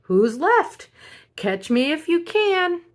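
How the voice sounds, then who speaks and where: A woman's voice: high voice, sing-song, slow pace, pitched above the performer's own voice, full character voice. A woman voicing a cocky, boastful little gingerbread baby boy from a children's storybook, in a taunting sing-song.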